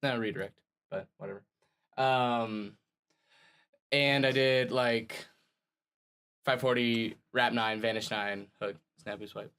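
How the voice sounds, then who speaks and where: A playful man in his twenties, chatting as a podcast guest with laughs between his lines. His voice is at an ordinary pitch and his talk is loose and relaxed. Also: slow, pauses often, clear, resonant tone. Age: 20s. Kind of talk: casual chat.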